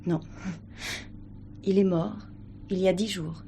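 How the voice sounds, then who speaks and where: A woman's voice: mid-range voice, steady tone, slow pace, gravelly, mellow, slight background noise. An actress playing a weary, guarded young showgirl in a stage drama, subdued and evasive. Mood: sad, confiding. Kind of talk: French stage drama acting.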